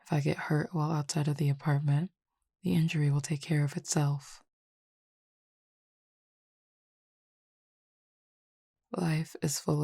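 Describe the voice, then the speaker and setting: A woman playing a calm, teasing Cupid roommate with formal, measured speech in an intimate roleplay audio drama. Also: low voice, vocal fry, breathy edge, no room sound, studio quality, heavily acted. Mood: reassuring.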